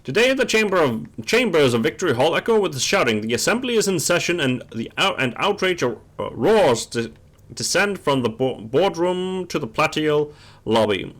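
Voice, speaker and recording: A man's voice: fairly high pitch, big pitch swings, moderate pace, fairly bright tone. A man hosting a casual gaming stream, chatting and reading game text aloud, often stumbling and rereading words.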